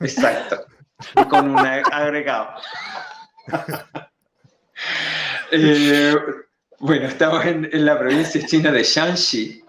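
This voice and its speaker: A man presenting slides in a live online talk. He explains at length, often pausing and repeating words. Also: a little high, fairly even intonation, echoey room, noisy background. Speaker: guest presenter.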